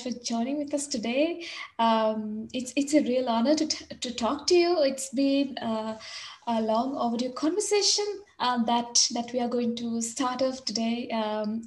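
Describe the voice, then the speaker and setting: A woman's voice: a little high, a little mellow. A woman hosting a podcast interview, speaking politely and hesitantly with many fillers, on a video call from a room with bookshelves. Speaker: podcast host. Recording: roomy video-call audio.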